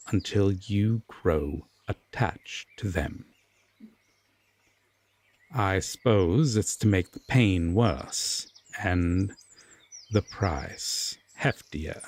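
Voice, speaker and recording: A man playing a solemn, regretful Fae king with hidden tenderness, speaking formally and with measured pauses in an audio roleplay drama. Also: fairly low pitch, a little mellow, full character voice. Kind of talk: slow audio roleplay drama addressed to the listener.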